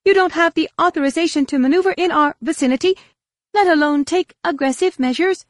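A woman's voice: sits high, steady tone, moderate pace, dry recording, studio quality, pitched above the performer's own voice, natural voice. A woman voicing an officious female alien official in an audiobook, heated and protesting. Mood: heated alarm. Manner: heated, urgent.

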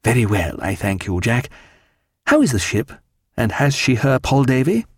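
A man voicing a learned, dry-humoured ship's surgeon and fond husband, with formal, measured period phrasing. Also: medium pitch, very animated, husky, no room sound. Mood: polite, composed.

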